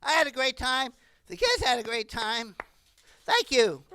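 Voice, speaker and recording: A woman in her sixties performing a stand-up comedy monologue in an animated, rambling way. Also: high voice, a little slow. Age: 60s.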